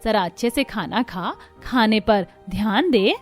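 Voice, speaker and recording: A woman's voice: medium pitch, a little slow, faint music behind, natural voice. A woman voicing a cheerful, bossy middle-aged mother-in-law in a cartoon story, exclaiming and insistent. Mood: fussing.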